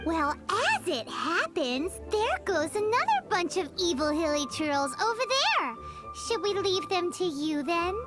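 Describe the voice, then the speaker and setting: A woman voicing a tiny, excitable childlike fairy companion in a video game, squeaky and exclamatory. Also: unhurried, full character voice. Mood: teasing, suspicious. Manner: speaks of self in third person, exclamatory. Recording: game music behind the voice.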